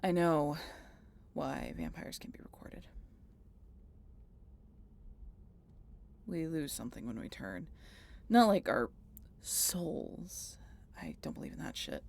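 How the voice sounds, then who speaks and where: A woman playing a guarded, sardonic century-old vampire in an intimate audio roleplay, halting and casually profane as she talks to the listener. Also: deep voice, sing-song, gravelly, a little mellow, heavily acted. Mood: uneasy, subdued.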